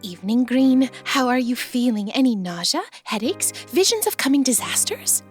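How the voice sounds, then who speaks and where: A woman plays a dreamy, earnest little librarian with a highish, very lilting voice. Her diction is quaint and formal, her manner sweet and matter-of-fact, in an audio drama with faint music. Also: animated, moderate pace, close to an everyday voice.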